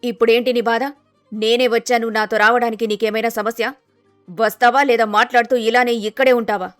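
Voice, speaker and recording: A woman voicing a domineering, suspicious middle-aged mother-in-law who speaks in a sharp, scolding, accusing way in a dramatized story. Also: a little high, flat delivery, brisk, no room sound, soft background music, lightly acted. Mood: suspicious, demanding.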